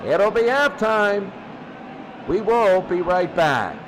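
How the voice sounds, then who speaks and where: A man's voice: high-pitched, lively intonation, slow, crowd noise, low-quality recording. A man giving live football play-by-play commentary in short, clipped present-tense calls. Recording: loud noise behind the voice.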